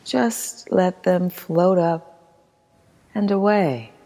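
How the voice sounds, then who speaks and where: A woman leading a guided meditation as instructor, reading in a soothing, measured manner with long pauses. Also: on the low side, warm tone. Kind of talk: guided meditation, scripted narration. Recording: long hall-like reverb.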